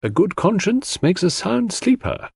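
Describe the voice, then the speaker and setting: A probing official coroner, performed by a male narrator. He is formal and measured, courteous yet stern, with pitch lifted and moving freely. The audiobook dialogue is closely and dryly recorded. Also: very animated, creaky, slightly rough, no echo, clean studio sound, exaggerated voice. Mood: politely brisk.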